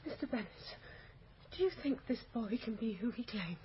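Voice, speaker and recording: A woman's voice: fairly high pitch, animated, moderate pace, lightly acted. An actress playing a haughty, anxious lady of the manor in a period radio drama, with a dramatic manner. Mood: sharp, agitated. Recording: dull, noisy radio drama recording.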